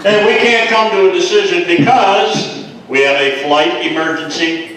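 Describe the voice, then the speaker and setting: A man's voice: sits high, moderate pace. A man playing a prosecutor in live improv comedy, interjecting and bantering with a stage partner. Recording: echoey noisy hall, far mic, loud crowd. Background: laughing crowd and loud noise.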